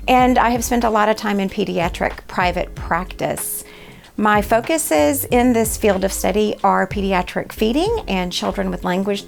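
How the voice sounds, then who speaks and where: Woman professor intro, gravelly fry, soft music behind. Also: medium pitch. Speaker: speech pathology professor. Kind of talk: scripted self-introduction.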